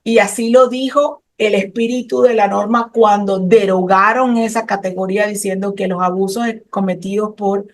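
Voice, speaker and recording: A woman's voice: medium pitch, steady tone, moderate pace, few pauses. A middle-aged woman from Colombia, talking passionately and technically about immigration law in an interview, with frequent hesitant fillers. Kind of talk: explanatory interview talk.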